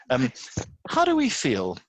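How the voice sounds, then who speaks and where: A man's voice: mid-range voice, huge pitch swings, slow, no room sound, rough recording. A man hosting a casual podcast chat in an irreverent, wry way, with some casual swearing. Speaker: podcast host.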